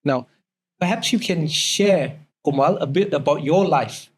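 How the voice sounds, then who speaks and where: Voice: mid-range voice, unhurried, breathy edge. Middle-aged host in casual interview. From Singapore. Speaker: host of a food talk show.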